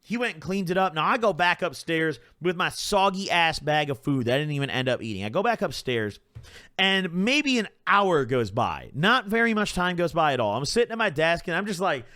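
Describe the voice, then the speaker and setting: A man's voice: a little high, normal speed, no echo, studio quality. A young man hosting his channel, telling a story in a casual, profane, rambling way, packed with "like" and "bro". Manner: animated, puts on voices when quoting people. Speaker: channel host.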